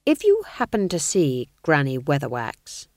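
A woman's voice: deep voice, huge pitch swings, measured pace, no echo, clean studio sound, pitched below the performer's own voice, full character voice. A woman playing an angry, determined young witch who speaks with pointed, stern emphasis in a fantasy audiobook. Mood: stern, threatening.

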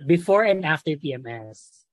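A young man in his twenties from Bohol with a Filipino accent, a native Cebuano speaker, chatting reflectively as a podcast guest with pauses and restarts. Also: on the high side, animated, dry recording. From Bohol, Philippines. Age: 20s. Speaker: podcast guest, medical student. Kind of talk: casual interview chat.

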